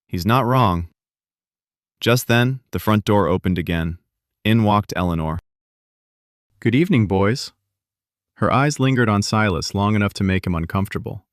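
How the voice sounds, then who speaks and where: A man reading a story aloud in a calm, measured narration. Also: on the low side, no echo, studio quality. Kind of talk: scripted audiobook narration.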